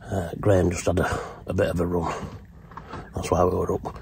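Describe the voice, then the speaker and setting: A middle-aged man with a very low, deep voice talks plainly into a phone held at arm's length. He trails off and fills gaps with "uh" as he chats to his viewers. Outdoor noise sits clearly under his voice. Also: little pitch movement, normal speed, darkish tone. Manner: plain-spoken, trailing off with frequent "uh". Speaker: angler vlogger. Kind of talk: casual fishing vlog to camera. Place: outdoors by a lake, and inside a fishing shelter at night.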